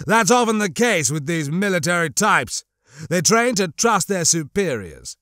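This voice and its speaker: A man playing a cold, scheming crime lord in an audiobook, mocking and disdainful, with menacingly measured delivery. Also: high voice, sing-song, sharp tone, no room sound, studio quality, voice pushed up, full character voice. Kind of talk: audiobook character acting.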